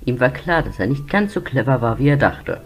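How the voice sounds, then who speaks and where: A man's voice: mid-range voice, big pitch swings, fairly quick, soft background music. Man narrating a children's story.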